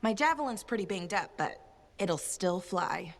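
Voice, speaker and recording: An actress playing an impulsive, spirited young female pilot in a video game, talking brashly and quick to indignation. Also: medium pitch, lively intonation.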